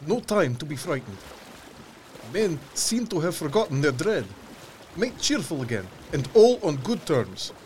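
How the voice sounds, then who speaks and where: A man playing a troubled sea captain who reads out his ship's log in terse, deliberate phrases. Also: a little high, big pitch swings, a little mellow, slight background noise. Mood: steady, relieved. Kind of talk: dramatic, clipped ship's log narration. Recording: water and boat ambience behind voice.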